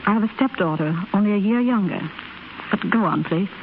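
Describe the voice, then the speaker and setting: An actress playing a refined, composed young widow in a 1930s radio drama, speaking politely and with measured phrasing. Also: female voice, mid-range voice, phone-quality sound, close to an everyday voice. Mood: composed, gracious. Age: young adult. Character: gracious, composed young widow, quietly grieving. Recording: scratchy, thin 1930s radio broadcast recording.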